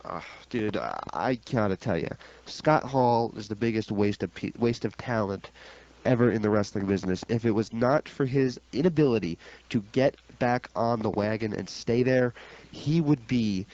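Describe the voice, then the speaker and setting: A man's voice: fairly low pitch, normal speed, darkish tone. Blunt man co-hosting sports talk radio, slightly muffled. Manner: blunt, emphatic. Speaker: radio co-host. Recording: dull, lo-fi radio recording.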